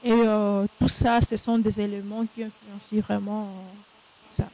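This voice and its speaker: A woman's voice: medium pitch, flat delivery, unhurried, warm tone, poor audio. Hesitant young woman guest. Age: young adult. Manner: hesitant, with many fillers and restarts. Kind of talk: casual panel discussion.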